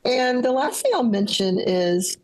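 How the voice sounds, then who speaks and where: A woman's voice: a little high, animated, a little slow. A middle-aged woman, a leadership coach as guest, speaking earnestly and upbeat, often agreeing. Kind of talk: casual conversational interview.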